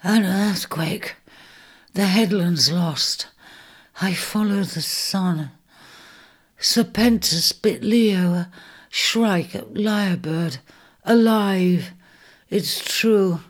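Storybook ram sovereign, male, burned and near death, played by a woman. Slow, hoarse whisper. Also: a little high, pitched above the performer's own voice, heavily acted. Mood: faltering. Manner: halting, broken phrases.

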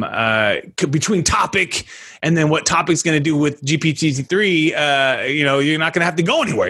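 A man hosting a casual podcast interview over a video call, energetic and slangy, punching words with sudden loud bursts. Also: medium pitch, husky. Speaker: podcast host.

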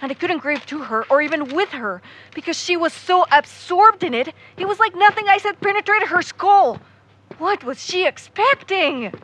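Defensive, bright-voiced young woman. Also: very high voice, lively intonation, normal speed. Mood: frustrated, grieving. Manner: earnest, frustrated, sweary. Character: devout, defensive young woman, grieving her missing friend. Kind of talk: naturalistic audio drama acting.

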